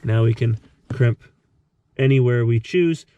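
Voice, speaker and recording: Male DIY host, mid-pitched, casual explanatory delivery, slight background noise. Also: a little slow, warm tone, lo-fi sound. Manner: methodical, explanatory. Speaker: host of a DIY car repair tutorial. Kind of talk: casual hands-on tutorial narration.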